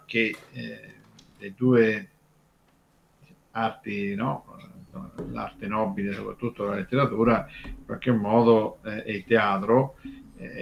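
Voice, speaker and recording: A man's voice: lowish voice, creaky, muffled recording, a little hiss or hum. A man explaining conversationally on a radio show, discursive and hesitant, repeating words as he goes. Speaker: radio show co-host and commentator.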